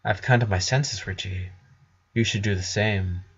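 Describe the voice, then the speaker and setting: A man's voice: lowish voice, moderate pace, slightly rough, lo-fi sound. A man voicing a determined female unicorn in a story reading, harsh and lovesick. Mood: cold, intense.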